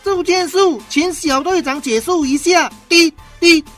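A woman playing a calm, fair-minded tree frog captain in a children's radio drama. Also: extremely high pitch, unhurried, faint music behind. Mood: inquiring, firm.

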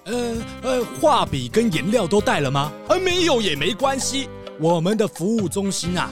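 A fussy, welcoming cartoon mayor whose pitch swoops up and down. He bustles along with effusive hospitality and little hesitant interjections, in a children's mystery drama with music behind him. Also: sing-song, moderate pace. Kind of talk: children's detective radio drama.

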